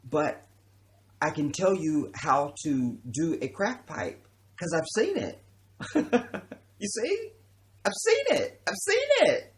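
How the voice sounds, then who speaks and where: A man's voice: fairly high pitch, big pitch swings, slow, long pauses. A man born in Chicago and raised around the country, giving an emphatic, profane livestream monologue and often checking in with his listeners. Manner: preachy, emphatic, profane, keeps asking "you understand?". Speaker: livestream host.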